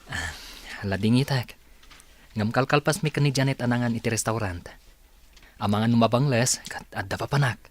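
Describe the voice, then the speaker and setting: A man's voice: medium pitch, normal speed, long pauses, lightly acted. A man playing an easygoing, politely evasive young working man in an audio drama, with a Filipino Ilocano accent.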